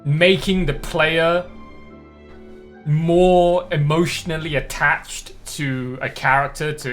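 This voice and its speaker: A man's voice: on the high side, a bit bright. A man giving casual live game stream commentary in a blunt, slangy, sweary way. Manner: blunt, profane, slangy ranting. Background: faint game music.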